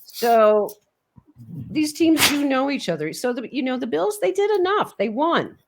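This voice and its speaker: A woman hosting a casual sports chat, talking through the game in a measured, analytical way. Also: high-pitched, very animated. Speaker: host.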